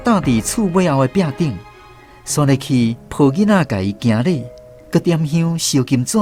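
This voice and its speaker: A man with a Taiwanese accent narrating a scripted radio drama in an expressive, dramatic way. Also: on the high side, moderate pace, soft background music.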